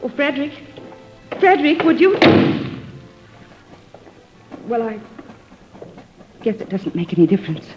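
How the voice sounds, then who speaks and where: A woman's voice: fairly high pitch, sing-song, unhurried, long pauses, over music. An actress playing a self-doubting, wistful woman in a 1950s-style radio drama, hesitant, earnest and apologetic. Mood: flustered, apologetic. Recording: muffled old-time radio recording.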